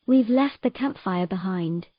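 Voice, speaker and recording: A woman's voice: mid-range voice, animated, unhurried, no room sound, dull audio. A woman playing a troubled, earnest young mermaid in a romantic fantasy audio drama. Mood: wistful storytelling.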